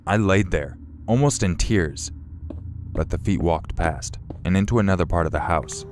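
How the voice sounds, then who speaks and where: A man narrating a true-style horror story in a slow, measured, somber way. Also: sits low, a little mellow, slight background noise. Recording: faint music behind.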